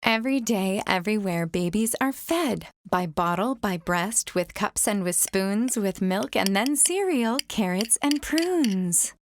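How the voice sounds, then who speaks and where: A young adult woman reading a rhyming children's picture book aloud in a gentle, sing-song storytime manner. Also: mid-range voice, lively intonation, measured pace, few pauses, slight background noise.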